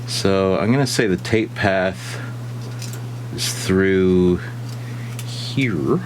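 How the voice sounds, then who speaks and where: A man thinking aloud in casual, halting, playful commentary while he works hands-on with a machine indoors. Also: deep voice. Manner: halting, muttering to himself, playful. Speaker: vlogger repairing a tape deck. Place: indoors at a repair bench. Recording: clear machine hum and whir.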